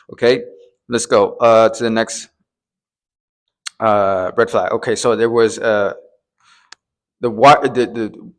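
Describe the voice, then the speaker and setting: A man with a mid-pitched voice speaks as an advisor to business owners. His delivery is explanatory and emphatic. He hammers key warnings by repeating them and keeps checking that the listener follows. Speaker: treasury advisor, presenter.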